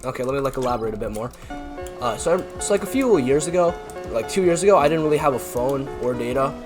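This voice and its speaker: A man's voice: medium pitch, animated, fast talker. A young man from Canada chatting casually over gameplay, rambling with lots of fillers and self-deprecating asides. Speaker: YouTuber. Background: background music.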